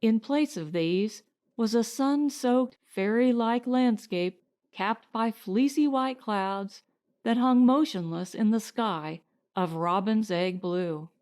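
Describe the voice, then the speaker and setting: A woman narrating a scripted story as an audiobook reader, in a measured, deliberate manner. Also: fairly high pitch, a little mellow.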